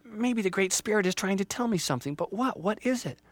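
Little storybook evergreen, young female played by a man: high, wide-eyed, earnest, hopeful yet unsure. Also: expressive, a little fast, no echo. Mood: puzzled wonder.

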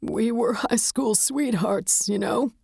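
A woman voicing a desolate, bony old widower in shock, with a cracked, whispery voice and halting, tearful delivery, in an audiobook story. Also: a little high, creaky, a little mellow, breathy edge, dry recording, studio quality, voice pushed up, heavily acted. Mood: grieving, wistful. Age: older.

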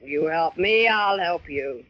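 Brassy, jittery dame, swooping voice. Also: female voice, on the low side, lively intonation, takes it slowly, no echo. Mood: shaky. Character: frightened, hard-boiled, slangy female drinker marked for murder. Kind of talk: 1940s radio drama acting. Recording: muffled vintage radio recording.

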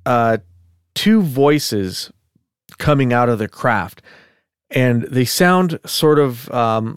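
A man with a voice pitched right in the middle walks his listener through a story in easy podcast chat. He keeps it loose and conversational, with asides and filler words. The sound is dry and close, with no room echo. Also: smooth. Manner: chatty, relaxed, thinks aloud with fillers and asides. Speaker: podcast co-host. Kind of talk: casual storytelling of a case.

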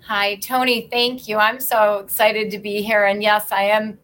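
A woman's voice: mid-range voice, level tone, measured pace, a bit bright. A middle-aged woman from upstate New York, a lawyer and guest on a podcast, telling her story earnestly with many small restarts. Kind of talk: podcast interview.

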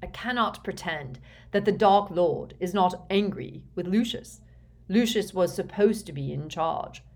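A woman voicing a cold, controlled, calculating man in an audiobook, speaking flatly, slowly and quietly. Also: on the low side. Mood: flat, stern. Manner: flat, slow, quiet, measured.

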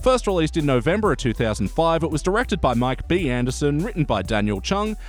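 Animated man hosting a podcast. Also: a little high, a little fast, faint music behind. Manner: jokey, animated. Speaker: podcast host. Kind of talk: casual comedic review chat.

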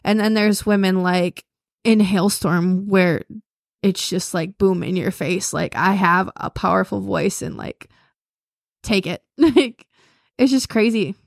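A woman's voice: mid-range voice, unhurried, creaky, no room sound, studio quality. A young woman co-hosting a podcast, chatting casually and filling her talk with "like". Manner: chatty, lots of "like". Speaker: podcast co-host. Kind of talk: casual chat.

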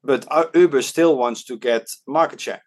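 Dutch guy on a podcast, lots of uh and restarts, normal pitch. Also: normal speed. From Netherlands. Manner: hesitant, many fillers and restarts. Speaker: podcast guest, startup advisor. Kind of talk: casual interview talk. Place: Hong Kong.

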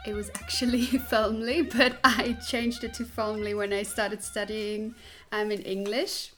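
A woman's voice: mid-range voice, little pitch movement, measured pace, a bit bright, faint music behind. A chatty woman in her twenties from Switzerland talks casually as an interview guest. She laughs often and fills her speech with ums and likes. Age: 20s.

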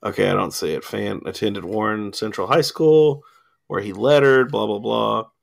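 A man talks through college sports results on a studio radio mic, rambling and filling pauses with ums. His voice has a gravelly edge. He sounds like he is starting to lose it. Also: on the low side. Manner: rambling, lots of ums and filler. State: losing his voice, coughing. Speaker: sports talk host. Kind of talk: casual sports radio chat. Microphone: studio mic with cough button.

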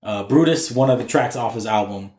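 A man's voice: mid-range voice, fairly quick, a little mellow. An American man chatting casually and enthusiastically about music on a podcast, with slangy, profane language. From US East Coast. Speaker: podcast panelist. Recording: roomy, echoey room.

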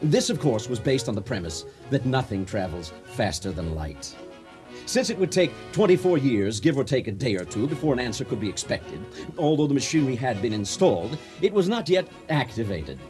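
An actor playing a pompous, folksy orator giving a comic ceremonial speech in a radio drama. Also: male voice, mid-range voice, big pitch swings, fairly quick, darkish tone, faint music behind, natural voice. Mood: folksy explaining. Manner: grandiloquent, theatrical delivery.

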